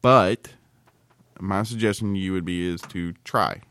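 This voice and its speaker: A man co-hosting a podcast in casual, joking banter, rambling and halting as he explains things. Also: sits low, fairly bright tone, clean tone. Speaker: podcast co-host.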